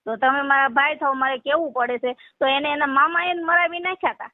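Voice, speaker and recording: A woman's voice: steady tone, a little fast, no echo. A woman makes her case earnestly and pleadingly as a caller in a phone argument. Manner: earnest, pleading, addresses listener as brother. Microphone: phone call. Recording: muffled low-fidelity phone call recording.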